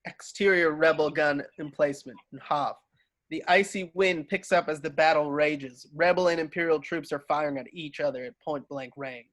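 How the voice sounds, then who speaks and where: A man's voice: fairly high pitch, fairly bright tone. A man reading screenplay stage directions as narrator of a table read.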